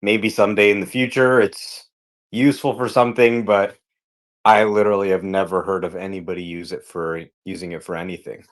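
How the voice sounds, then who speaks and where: A man with a fairly low voice talks as a guest on a panel. His style is casual and explanatory, laying ideas out in plain terms and posing rhetorical questions. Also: level tone, brisk.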